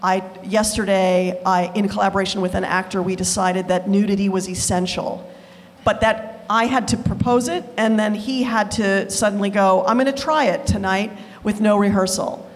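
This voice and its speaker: A woman's voice: medium pitch, fairly even intonation, normal speed. A woman who works as a theater director, sharing in a group discussion with an eager, rambling, self-correcting manner. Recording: long hall reverb.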